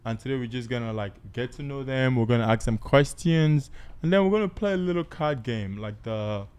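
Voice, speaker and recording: A man's voice: medium pitch, brisk, a little mellow, clear, resonant tone. A male podcast host who rambles with lots of fillers while introducing his guests. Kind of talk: casual podcast hosting.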